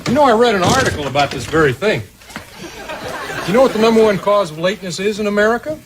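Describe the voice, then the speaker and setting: Upbeat preachy dad, middle-aged man, 1980s sitcom, bouncy lively voice, hissy old TV sound. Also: high-pitched, quick pace, crowd noise. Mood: upbeat, fatherly. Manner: teasing, lecturing, overly positive. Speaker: father.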